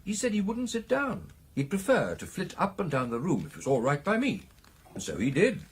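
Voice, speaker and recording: An actor playing an excitable British club gentleman, telling his tale in a theatrical, hesitating and emphatic radio drama style with a husky voice. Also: fairly high pitch, brisk, heavily acted. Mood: earnest, reflective. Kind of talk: dramatic storytelling in a radio play.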